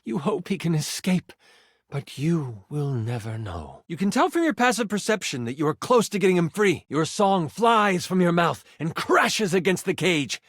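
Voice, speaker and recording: Game master narrating fantasy rpg, theatrical, highish voice, swings up n down a lot, super dry sound. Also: male voice, big pitch swings, normal speed, a bit bright, no room sound. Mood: urgent narrating. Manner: theatrical, suspenseful storytelling. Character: theatrical, playful male game master, personified gaming die. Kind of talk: dramatic tabletop fantasy narration.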